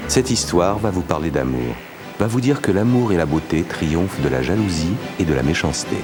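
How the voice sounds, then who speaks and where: A man narrating an animated fairy tale as a storyteller, measured and dramatic. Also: deep voice, over music. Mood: storytelling.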